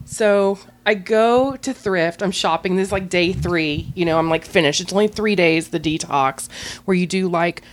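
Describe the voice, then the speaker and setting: A woman's voice: lowish voice, moderate pace. A young adult woman, a podcast guest, telling a story casually in a rambling way, full of fillers and giggles.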